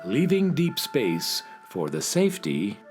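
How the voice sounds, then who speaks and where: A man with a medium-pitched, very expressive voice narrates a children's radio adventure. He has a storybook manner and addresses young listeners directly, with music underneath. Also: takes it slowly.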